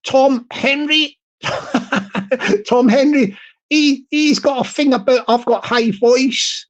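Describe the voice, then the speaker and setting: A man with a high-pitched tenor voice, talking casually on his livestream and repeating his phrases as he rambles. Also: slow, muffled recording. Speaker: YouTuber livestream host.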